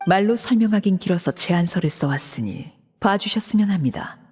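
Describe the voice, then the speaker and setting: A woman voicing a cold, composed female leader in a video game, speaking in a measured, formal, controlled way. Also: medium pitch, animated, a little mellow, husky, faint music behind. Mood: cool. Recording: reverberant game audio.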